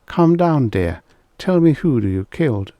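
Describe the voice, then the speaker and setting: A man voicing a paternal, condescending male newspaper editor in an audiobook story, speaking calmingly. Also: mid-range voice, unhurried, dry recording, clean studio sound, pitched above the performer's own voice. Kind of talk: audiobook character voice.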